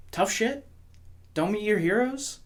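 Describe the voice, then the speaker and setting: An actress plays a brash, loyal rock fan arguing in a podcast drama. Her voice is medium in pitch and swings in lively fashion, with blunt, sweary, interrupting delivery. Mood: defiant. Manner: blunt, profane, interrupting, slangy. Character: brash, stubbornly loyal female rock fan, middle-aged. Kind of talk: casual argument in an audio drama.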